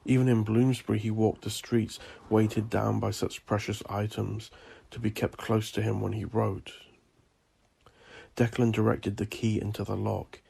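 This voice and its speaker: A man reading dark horror fiction as a narrator, in a brooding, ominous and theatrical manner. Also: fairly low pitch, little pitch movement, measured pace, gravelly, breathy edge. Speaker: narrator of a horror audio drama. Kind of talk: dramatic gothic scripted narration.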